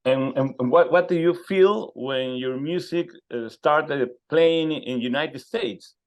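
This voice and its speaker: A man's voice: a little high, slow. A man with a Peruvian Spanish accent, a native Spanish speaker working as a radio interviewer, searching for words as he asks conversational questions. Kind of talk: conversational interview in a second language.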